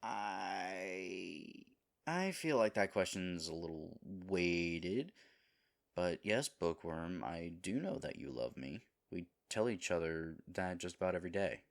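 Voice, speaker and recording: A man's voice: sits low, lively intonation, slow, full character voice. A man playing a lovable, nervous himbo boyfriend in an intimate audio roleplay, earnest and rambling. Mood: worried, earnest. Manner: earnest, rambling, hesitant, dropping into breathy asides.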